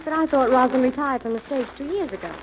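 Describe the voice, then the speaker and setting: A woman's voice: high-pitched, quick pace, close to an everyday voice. A woman playing an eager young assistant to a crime fighter in a 1940s radio drama. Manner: bubbly, eager. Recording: noisy, muffled old radio recording, crowd murmur.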